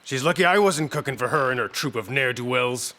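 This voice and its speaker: A blunt, scornful cook played by a man in an audio drama. He talks fast in a bright, cutting voice of middling pitch. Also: close to an everyday voice.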